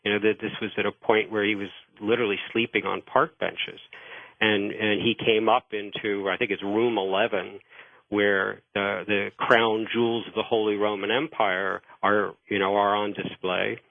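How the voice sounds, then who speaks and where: A man explaining history as a radio interview guest, halting and repeating words as he starts phrases, over a narrow phone line. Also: lowish voice, little pitch movement, creaky, dry recording.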